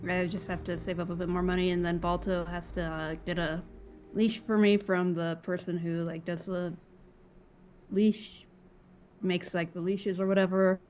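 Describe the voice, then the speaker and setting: A woman's voice: lowish voice, little pitch movement, moderate pace, a little mellow, soft background music, lightly acted. A woman playing a young food worker in casual improvised game role-play, speaking in a plain, matter-of-fact way. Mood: casual eager.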